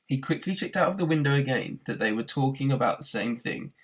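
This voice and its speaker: A man reading a novel aloud as an expressive narrator, in the way of a teacher reading to a class. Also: mid-range voice, fairly quick, breathy edge, rough recording.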